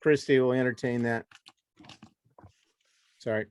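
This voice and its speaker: A man's voice: mid-range voice, fairly even intonation, a little slow, clean tone. A man hosting a virtual conference, rambling a little and using many fillers as he introduces speakers. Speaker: conference host. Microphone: video call.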